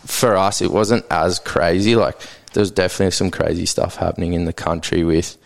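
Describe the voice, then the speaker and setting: A man's voice: low-pitched, fairly quick, vocal fry. A man in his thirties from South Africa, native Afrikaans speaker, talking casually and rambling as a podcast guest. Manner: relaxed, rambling, heavy on fillers like "like" and "sorta". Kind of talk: casual conversational interview.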